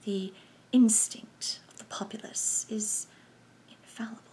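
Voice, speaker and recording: A man's voice: sits high, steady tone, measured pace, dark tone, voice pushed up. A woman whispering as a sibilant, sinister ringleader. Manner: cold and menacing. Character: whispering sinister male conspiracy chief.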